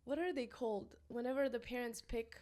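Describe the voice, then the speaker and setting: Casual young woman from Romania. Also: on the high side. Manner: relaxed, halting, with many ums and restarts. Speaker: pickleball player and coach, guest. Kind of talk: casual interview answers.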